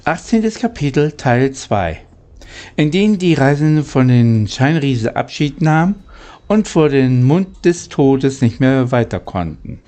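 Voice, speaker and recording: A man reads a children's tale at a slow, deliberate pace. His pitch moves up and down expressively in a middling register. The reading is a little halting, like an amateur storyteller at home. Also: very animated, warm tone. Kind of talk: amateur audiobook reading with chatty greeting.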